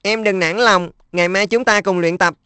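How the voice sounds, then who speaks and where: Woman as kind big-brother schoolboy, cartoon dub: steady, encouraging, dry. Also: medium pitch, normal speed, smooth, no echo, lightly acted. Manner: reassuring, coaxing.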